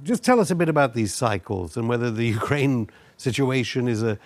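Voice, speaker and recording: A man presents and interviews in a broadcast style. His voice is of middling pitch. He builds long, wordy sentences with a pointed edge. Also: animated, brisk. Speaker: interviewer, show host.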